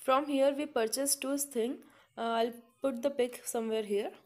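A woman's voice: sits high, fairly even intonation, normal speed, loud music behind the voice. A woman from Chhattisgarh with an Indian accent, narrating a travel vlog. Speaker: travel vlogger.

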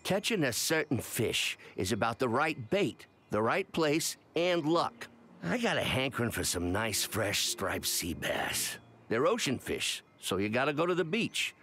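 Folksy old fisherman, lively pitch. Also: a little slow, a little hiss or hum. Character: old folksy fisherman shopkeeper, friendly male mentor. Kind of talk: video game voice acting.

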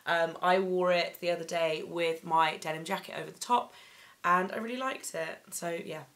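Slightly croaky young woman vlogging. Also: on the low side, fairly even intonation, normal speed, fairly bright tone. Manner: chatty, enthusiastic, many ums and likes. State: slightly croaky after laryngitis. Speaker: fashion vlogger. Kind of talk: casual haul vlog. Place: bedroom, indoors.

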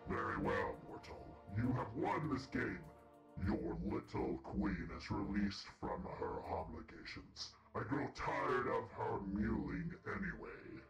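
A man using voice changer software to voice a gloating, cruel male demon lord in a game story. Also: fairly low pitch, takes it slowly, creaky, voice pushed down. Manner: menacing, theatrical threats. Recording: roomy, with game music behind.

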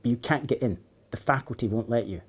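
A man's voice: medium pitch, fast, husky, close to an everyday voice. A man voicing an earnest, naive schoolgirl in a children's storybook. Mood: dismal. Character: earnest, wondering, gloomy schoolgirl.

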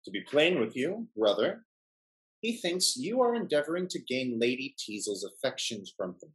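Animated scheming gentleman played by a man. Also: mid-range voice, normal speed, close to an everyday voice, rough recording. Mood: evasive. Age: middle-aged. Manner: theatrical, emphatic period speech. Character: smooth-talking, hypocritical, flustered English gentleman schemer. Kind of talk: Restoration-style stage comedy over video call. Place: indoor room, video call.

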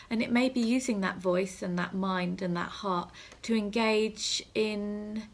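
Female reverend, thirties. Husky with lots of vocal fry, gentle reflective assembly delivery, pausing often, recorded on a device in a small room. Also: mid-range voice. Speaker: reverend leading a school assembly. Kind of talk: gentle reflective assembly talk and prayer for children. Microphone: phone or webcam at arm's length.

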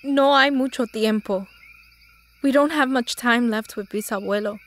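Actress, young sister, highish voice, weary, loving, tender, audio drama. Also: female voice, normal speed, a little hiss or hum, lightly acted. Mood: wistful. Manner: tender, slips into Spanish.

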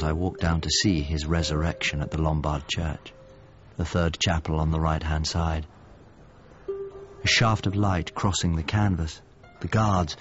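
A man acting a haunted, melancholy painter in a radio play. His voice is low and he speaks reflectively, as if confessing, with music underneath. The sound is slightly dull. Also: close to an everyday voice. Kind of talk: naturalistic radio drama acting and monologue.

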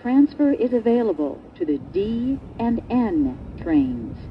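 A woman giving pre-recorded subway announcements in a measured, carefully enunciated, pieced-together delivery. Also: very animated. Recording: muffled train speaker, vehicle noise. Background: subway car rumble.

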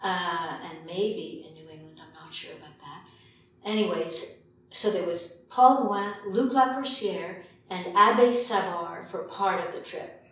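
An older woman from Quebec reflecting in an interview as a filmmaker, pausing often mid-sentence. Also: on the low side, a bit bright. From Quebec, Canada. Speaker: documentary filmmaker being interviewed. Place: indoor meeting room at a long table. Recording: very echoey room, distant mic, faint noise.

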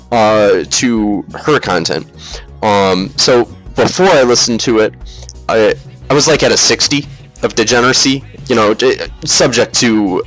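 A man's voice: mid-range voice, fairly bright tone, rough recording. Rambling, foul-mouthed man with music behind. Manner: rambling, halting, profane, mock-serious. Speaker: reviewer, video host. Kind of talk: casual comedic slideshow commentary.

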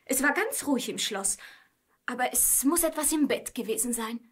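An actress playing a delicate, polite, weary young princess in a fairy-tale radio play. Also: female voice, fairly high pitch, lively intonation, normal speed, husky. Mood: plaintive.

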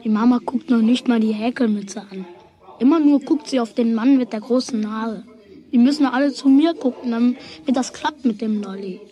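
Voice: medium pitch, moderate pace, warm tone, close to an everyday voice. An actor playing a stubborn, jealous schoolboy in a children's radio play, grumbling indignantly to himself. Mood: sulky. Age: child figure.

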